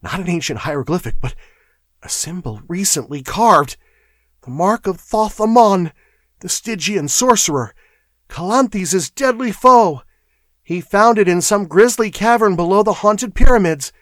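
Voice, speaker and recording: Guy doing a scared chattery clerk from a fantasy story, high voice, full of dread, really dry sound. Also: lively intonation, measured pace, fairly bright tone, dry recording. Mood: frightened, urgent. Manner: chattering, fearful, ominous. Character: frightened, chattering male clerk spinning eerie warnings. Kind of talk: dramatized fantasy audiobook.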